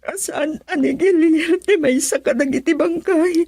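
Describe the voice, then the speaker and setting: A woman's voice: high voice, moderate pace, mellow, no echo. A woman playing a grief-stricken, fearful character in a radio drama, with a tearful, pleading delivery. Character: grief-stricken, fearful woman fearing a loved one dead. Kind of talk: Ilocano radio drama acting.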